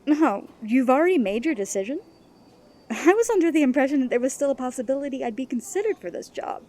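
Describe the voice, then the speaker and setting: Quick-witted female mercenary played by an actress: soft-toned, fairly high voice, courteous but wry, audio drama. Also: lively intonation. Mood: puzzled. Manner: polite, sardonic. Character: confident, quick-witted mercenary woman seeking work. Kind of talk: fantasy audio drama acting.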